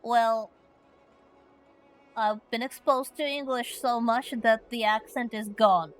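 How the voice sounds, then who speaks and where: A woman's voice: on the high side, fairly even intonation, slow, poor audio. A woman from Finland streaming a story game, chatting playfully and reading questions aloud.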